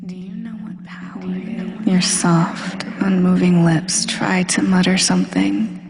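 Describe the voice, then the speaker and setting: Smug female supervillain , voiced by a woman for hypnosis roleplay. Lowish, lightly creaky, breathy voice, deliberate and domineering, slipping into whispers. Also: fairly even intonation, crowd noise. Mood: domineering, soothing. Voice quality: breathy, often dropping to a whisper. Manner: slow, deliberate, hypnotic, commanding. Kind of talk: slow scripted hypnosis roleplay audio. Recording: long hall-like reverb.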